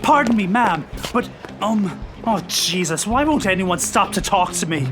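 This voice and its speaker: A man's voice: high voice, animated, a little slow, background noise. An actor playing an earnest, polite, stubborn young man with a hesitant manner in an audio drama. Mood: searching, flustered. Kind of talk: full-cast audio drama acting. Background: music.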